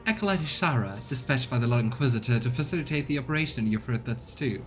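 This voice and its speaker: A man's voice: animated, fast talker, faint music behind, natural voice. A man voicing an arrogant female inquisition agent in a video game, haughty, formal and condescending. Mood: cool, superior. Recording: rumbly background noise.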